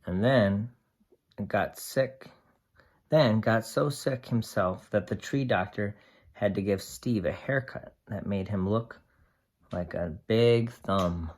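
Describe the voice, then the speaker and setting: A storyteller reading a picture book aloud to young children in a deliberate, expressive way, pausing to explain simply. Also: sits low. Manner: slow, deliberate, explains and asks simple questions.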